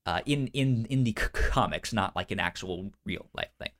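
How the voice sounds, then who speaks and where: A man co-hosting a casual pop-culture news chat, stuttering on sounds and syllables, with halting, informal phrasing. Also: low-pitched, lively intonation, a bit bright. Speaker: podcast co-host.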